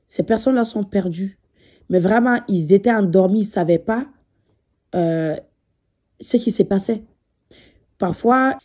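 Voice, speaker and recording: A woman's voice: lowish voice, dark tone, highs cut off. A woman with a cold, speaking directly to viewers as a spiritual medium and coach, hesitant and full of fillers. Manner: hesitant, many fillers, repeats words. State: has a cold. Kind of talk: direct-to-camera spiritual talk.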